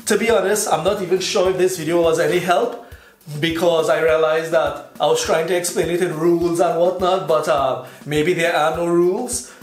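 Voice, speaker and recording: Man with a Trinidadian accent. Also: fairly high pitch. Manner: relaxed, explanatory, gestures while talking. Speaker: YouTube host teaching. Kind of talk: casual talking-to-camera lesson. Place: indoors in front of a plain backdrop. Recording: echoey room with faint music.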